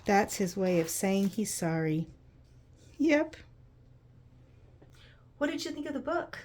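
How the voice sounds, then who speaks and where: A woman narrating a picture book to children in an expressive, conversational way, using simple explanations and checking in with questions. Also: mid-range voice, slow pace. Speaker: children's read-aloud host.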